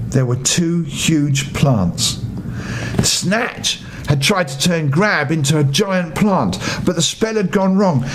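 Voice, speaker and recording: An older man narrating a children's bedtime story as an expressive storybook reader. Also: sits high, noisy background.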